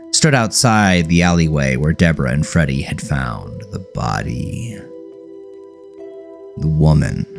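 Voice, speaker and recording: A man reading scripted horror fiction as an audiobook narrator. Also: low voice.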